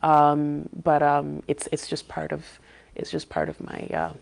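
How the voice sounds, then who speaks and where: A woman who grew up in Saudi Arabia, a podcast guest speaking thoughtfully and pausing often to search for words. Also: deep voice, very animated, gravelly, darkish tone. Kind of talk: casual interview talk.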